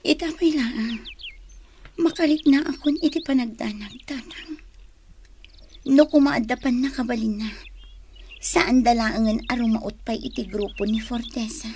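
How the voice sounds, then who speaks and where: A woman's voice: a little high, measured pace, long pauses, warm tone, a little hiss or hum, close to an everyday voice. A woman playing an anxious young daughter in a radio drama, worried and often half-whispering. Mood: worried, pleading.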